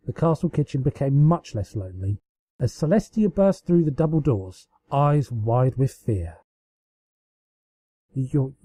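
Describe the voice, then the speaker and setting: A man narrates a piece of fiction in a very dark, soft tone. His pitch moves in a lively, expressive way as he reads from the text. The sound is close and dry. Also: husky, no echo. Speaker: amateur story reader.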